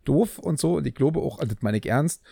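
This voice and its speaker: A man's voice: medium pitch, animated, fast talker, gravelly, mellow, slightly rough, dry recording, studio quality. A man co-hosting a casual podcast chat, joking around with lots of fillers and restarts. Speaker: podcast co-host.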